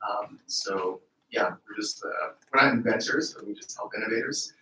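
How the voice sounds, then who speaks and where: A man, a patent lawyer, introducing himself to a group in a friendly, relaxed way. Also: on the low side, normal speed. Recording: echoey room, distant, faint noise.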